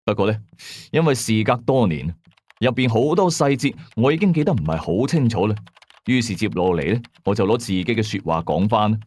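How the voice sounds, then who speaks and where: A man with a Hong Kong Cantonese accent tells a horror story in the first person, in a suspenseful, colloquial, expressive way. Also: lowish voice, a little fast, no room sound. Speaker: ghost story narrator. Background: music behind the voice.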